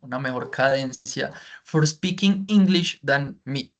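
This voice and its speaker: A man's voice: mid-range voice, measured pace. A man with a strong Spanish accent, a native Spanish speaker, teaching English and giving feedback on a video call, often restating points in Spanish. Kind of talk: online feedback session.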